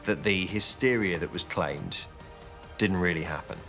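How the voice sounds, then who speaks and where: A man giving measured explanations as a documentary interviewee. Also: low voice, music in the background.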